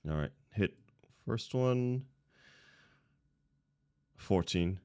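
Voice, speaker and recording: A man's voice: on the low side, lively intonation, extremely slow, darkish tone, clean tone. A man playing a tabletop game, calling his moves casually in short phrases. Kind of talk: casual, terse game talk.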